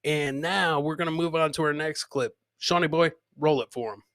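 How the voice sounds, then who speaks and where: A bright, punchy male voice, a little high, recorded dry and close. He hosts in loose, slangy live chat, talking straight to the listeners and circling back over his own phrases. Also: moderate pace, dry recording. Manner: slangy, repeats himself, addresses listeners directly. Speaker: podcast host. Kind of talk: casual live chat with viewers.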